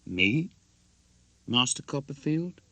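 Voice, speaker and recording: An oily young clerk, acted out by a male narrator in a storybook reading, fawning and self-abasing. The voice is bright and sharp, with a close, dry sound. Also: medium pitch, very animated, slow pace, no room sound, voice pushed up, exaggerated voice. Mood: fawning, humble. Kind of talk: audiobook character acting.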